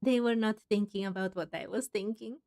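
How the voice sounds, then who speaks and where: A woman's voice: mid-range voice, brisk, gravelly, darkish tone. A woman giving casual commentary as she plays through a game, chatty and musing aloud, with game music behind. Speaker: gaming streamer. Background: faint game music.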